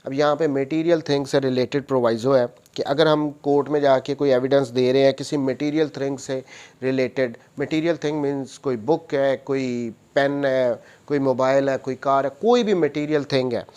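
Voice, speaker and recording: A man's voice: mid-range voice, steady tone, moderate pace, darkish tone, clean tone. A man with a Pakistani accent lecturing on law, reading out clauses and explaining them methodically, rephrasing each point.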